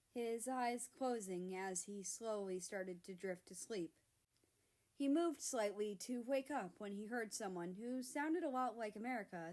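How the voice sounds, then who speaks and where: A woman's voice: medium pitch, darkish tone. A woman narrating a piece of fiction, reading it aloud as a storyteller.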